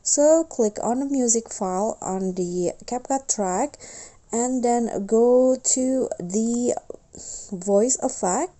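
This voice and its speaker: A woman narrating a casual step-by-step software tutorial as its host. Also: fairly high pitch, slow pace.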